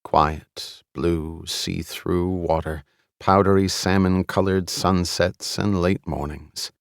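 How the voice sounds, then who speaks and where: An American man narrating an audiobook from a script, with deliberate, suspenseful, dramatic storytelling. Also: low voice, fairly even intonation, creaky, no room sound, studio quality.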